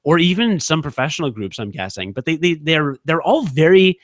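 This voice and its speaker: Rambling podcast host, dry close mic. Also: male voice, fairly high pitch, expressive, brisk, no room sound. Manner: rambling, restarts, many fillers. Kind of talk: casual podcast chat.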